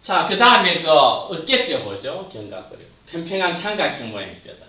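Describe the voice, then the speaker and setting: Male lecturer, lively pitch, muffled distant room recording. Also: moderate pace, roomy sound. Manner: points at slides, trails off at phrase ends. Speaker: anatomy lecturer. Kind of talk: classroom lecture.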